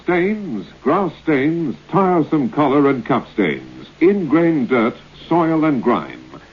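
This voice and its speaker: A man's voice: fairly high pitch, big pitch swings, slow, husky. A man reading an old radio advertisement as an announcer, with emphatic, clipped salesmanship. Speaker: commercial announcer. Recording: tinny, narrow old radio recording.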